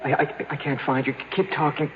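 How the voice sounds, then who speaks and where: A man's voice: a little high, level tone, a little fast, long reverb tail, close to an everyday voice. An actor playing a devoted young man in love, trapped, cold and weakening, stammering and faltering in old-time radio drama style. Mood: panicky. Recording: dull vintage radio with faint hiss.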